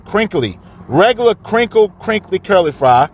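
A man with a fairly high, lively voice chats to the camera as he eats. He uses plenty of slang and profanity in a casual food review, recorded in a car with clear background noise. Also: normal speed. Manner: animated, slangy, profane, talking while eating. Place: inside a parked car.

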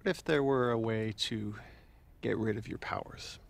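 A man's voice: lowish voice, moderate pace, creaky, a little hiss or hum. An actor playing a concerned, awkward mentor in a cinematic video game, earnest and hesitant in his delivery. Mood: gentle, concerned. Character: concerned, awkward adult male mentor with a secret plan.

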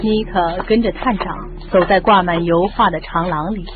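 A woman's voice: fairly low pitch, steady tone, moderate pace. A woman reading scripted narration as the narrator of a radio mystery drama. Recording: muffled lo-fi radio drama, music behind.

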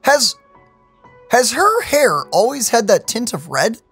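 A young adult woman giving chatty, animated casual commentary as a gaming host. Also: fairly high pitch, takes it slowly, breathy edge, faint music behind. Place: indoor room.

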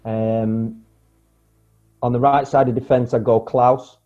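A man in his forties chatting casually as a guest on a video call, relaxed and rambling, with plenty of fillers and restarts. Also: mid-range voice, slow. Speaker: guest, former footballer. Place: home room, indoors. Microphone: earphone mic on a video call. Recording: dull lo-fi video call audio with faint music.

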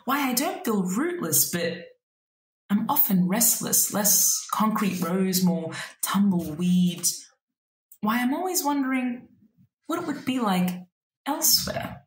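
Slow, reflective woman reading poetry. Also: medium pitch, big pitch swings, roomy sound, low-quality recording. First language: Arabic. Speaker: poet. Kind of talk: spoken word poetry reading. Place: small room indoors.

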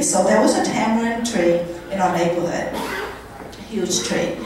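A middle-aged woman telling a personal story as a live monologue, conversational and acting out bits of dialogue. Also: fairly low pitch. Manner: conversational, animated retelling with quoted dialogue. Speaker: guest speaker at a charity event. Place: indoor function room at a country club. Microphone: handheld microphone, picked up by a far camera. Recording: echoey room, distant camera microphone, room noise.

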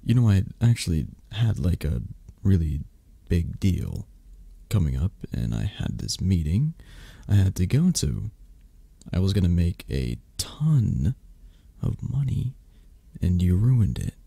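Sick, sulky mafia boss played by a man for ASMR romance: raspy, swooping intonation, close dry sound, plaintive and possessive. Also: deep voice, sing-song, no echo, full character voice. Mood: weary complaining. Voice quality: soft, breathy, raspy. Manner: halting, sighing, plaintive. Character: sick, grumbling, needy male mafia boss, possessive lover. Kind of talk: ASMR romance roleplay acting.

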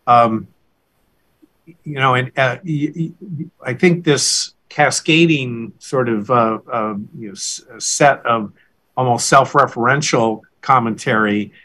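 Bright-voiced older man, hesitant. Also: medium pitch. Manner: hesitant, many fillers and restarts while brainstorming. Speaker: interviewer. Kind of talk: academic conversation. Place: home study with bookshelves. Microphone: video call.

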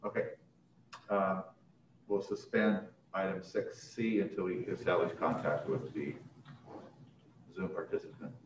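A man's voice: sits low, measured pace, long pauses, a little hiss or hum. A man chairing a board meeting, formally reading out agenda items, picked up by a distant mic in an echoey, muffled room. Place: indoor council chamber. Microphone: distant room microphone on a video call.

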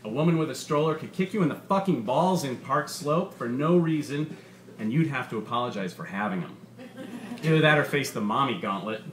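A man's voice: mid-range voice, expressive, a little fast, lightly acted. A man voicing a bitter, sardonic robot stand-up comedian with a profane, punchy, menacing delivery. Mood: ranting. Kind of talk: stand-up comedy routine in a short story reading. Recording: roomy bar with faint background noise.

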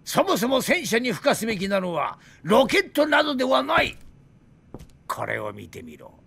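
Drunken, gravelly, wildly animated old weapons designer. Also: male voice, very high-pitched, normal speed, exaggerated voice. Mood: grandiose. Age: older. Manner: theatrical, grandiose, indignant ranting. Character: drunk, bitter old Soviet weapons designer, boastful and ranting. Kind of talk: dramatic video game cutscene acting.

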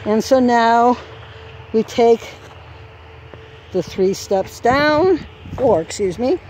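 A woman walking an outdoor horse course with her phone and explaining each obstacle in an unhurried way, now and then correcting herself. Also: on the high side, lively intonation, a little mellow. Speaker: course designer giving a walk-through. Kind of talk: instructional course walk-through. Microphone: handheld phone camera. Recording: faint outdoor noise, sometimes far from the mic.

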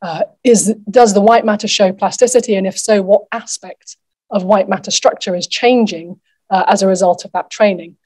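A middle-aged woman speaking as a keynote researcher at an academic conference, explaining in a measured way from a lectern. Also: medium pitch, steady tone, dark tone. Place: lecture theatre, at a lectern.

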